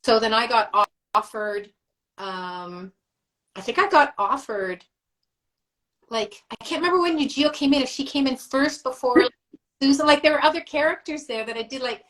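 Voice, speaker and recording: A woman's voice: high-pitched, moderate pace, fairly bright tone, rough recording. A middle-aged woman, a voice actress, chatting as a guest on a live video call, rambling through memories with fillers and laughs. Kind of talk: casual livestream chat.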